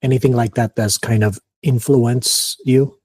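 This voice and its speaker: A man hosting a podcast interview, asking relaxed questions and giving short, easygoing replies. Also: mid-range voice, measured pace, breathy edge, dry recording. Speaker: podcast host. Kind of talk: casual interview.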